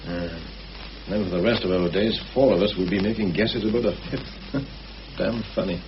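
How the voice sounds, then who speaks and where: Actor as a dry, sardonic conspirator. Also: male voice, on the low side, moderate pace, lightly acted. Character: wry, sceptical male conspirator. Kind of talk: radio drama acting. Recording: muffled, faintly noisy old radio recording.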